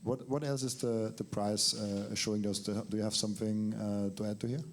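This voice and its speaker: Male moderator, low monotone, stammering repetitions. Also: brisk, slight background noise. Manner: stammering, repeats words. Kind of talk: panel discussion. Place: conference panel stage.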